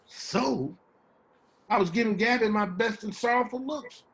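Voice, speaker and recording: Man playing a husky, stammering American street hustler. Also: sits high, unhurried, a bit bright, exaggerated voice. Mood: pleading, indignant. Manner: stammering, repeats words, folksy street slang. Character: rambling, wheedling homeless street man, loyal and streetwise. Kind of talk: dramatic table reading of a stage play.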